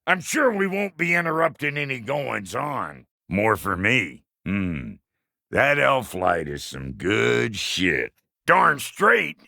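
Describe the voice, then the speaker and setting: Video game dwarf blacksmith: crude, cranky and sly, creaky and piercingly bright, folksy insults. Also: male voice, mid-range voice, sing-song, slow, dry recording, clean studio sound, exaggerated voice. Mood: loud, hearty, then gruff, satisfied. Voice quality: gravelly, creaky, sharp. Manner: folksy, blunt, drawling slang and colorful insults. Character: crude, cantankerous, foul-mouthed dwarf blacksmith with a sly streak. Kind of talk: video game character acting.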